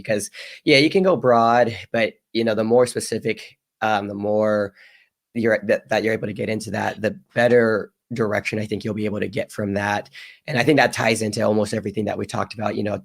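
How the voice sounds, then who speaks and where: A hoarse man co-hosting a podcast, talking casually with hesitant, rambling phrasing. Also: lowish voice. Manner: hesitant, rambling, many fillers. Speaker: podcast co-host.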